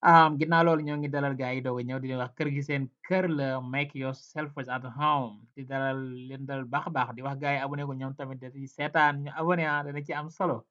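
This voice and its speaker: A man hosting a casual language lesson, chatting loosely with fillers and then repeating example phrases slowly and loudly. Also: mid-range voice, steady tone. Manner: slow, drawn-out, louder repetitions of example phrases. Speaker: language teacher and channel host. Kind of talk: casual language lesson, mixing English, Wolof and French. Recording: dull, lo-fi sound.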